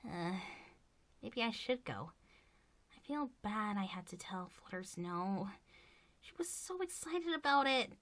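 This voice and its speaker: A woman playing a caring, firm young pony princess in an animated cartoon drama, earnest and emphatic. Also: medium pitch, unhurried. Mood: remorseful. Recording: music behind the dialogue.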